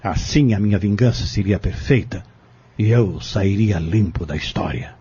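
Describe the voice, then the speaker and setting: Man acting as a very husky, vengeful schemer. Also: fairly low pitch, normal speed, warm tone, muffled recording. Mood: cold, bitter. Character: cold, vengeful male schemer confessing his crimes. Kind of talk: audio drama acting.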